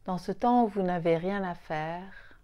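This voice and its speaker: Female meditation guide, low-leaning dark soft tone, soothing, very slow delivery in short phrases. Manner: soothing, unhurried, with long pauses between short phrases. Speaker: yoga nidra guide.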